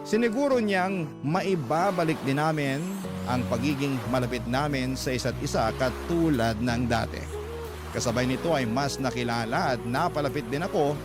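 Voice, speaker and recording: A man with a Filipino accent reading a first-person story as radio drama narration, measured and sentimental. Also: medium pitch, music in the background.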